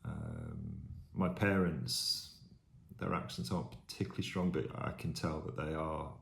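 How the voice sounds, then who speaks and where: A man in his fifties from Staffordshire with a mild Birmingham accent, answering interview questions in a thoughtful, measured way with pauses. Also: extremely low pitch, creaky, a bit bright, slight background noise. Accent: mild Birmingham (Brummie). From Staffordshire, England. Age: 50s. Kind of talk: reading word lists and answering interview questions. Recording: roomy echo.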